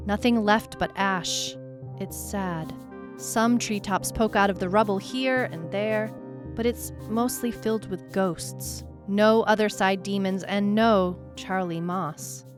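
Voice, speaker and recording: A woman playing a brooding detective who narrates a supernatural case in a measured, deliberate way, as in a scripted audio drama. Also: mid-range voice, natural voice. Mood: wry, subdued. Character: brooding female detective recounting a supernatural missing-person case. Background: music.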